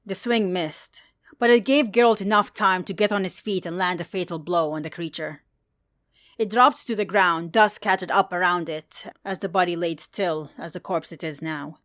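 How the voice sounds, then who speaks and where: A woman with a fairly low voice narrates a piece of fantasy fiction. Her delivery is measured and dramatic, clearly read from a script. The sound is dry, without room echo. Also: a bit bright. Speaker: fan fiction podfic narrator.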